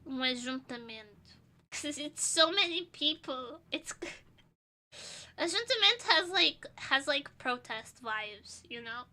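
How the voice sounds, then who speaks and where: A woman's voice: sits high, expressive, slow, sharp tone. A young woman streamer whose first language is Portuguese, chatting casually while tipsy, giggling and rambling with crude jokes. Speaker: vtuber streamer.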